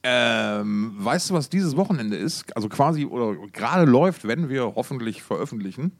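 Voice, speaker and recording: A man co-hosting a podcast in casual, colloquial chat, thinking aloud with halting phrasing. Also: medium pitch, expressive, no echo. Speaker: podcast co-host. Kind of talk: casual chat about music.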